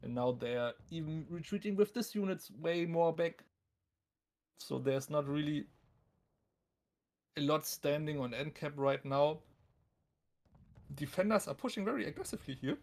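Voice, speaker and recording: German-accented man commentating, slightly creaky higher voice. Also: moderate pace, pauses often, a little mellow. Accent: thick German. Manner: self-deprecating, talks to chat and viewers. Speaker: esports tournament commentator. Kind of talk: live game commentary, casual and joking.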